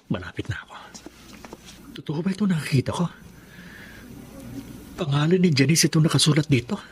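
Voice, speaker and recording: An earnest but suspicious husband in a Filipino radio drama. His voice sits at a middle pitch. The recording carries a little noise. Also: fairly bright tone.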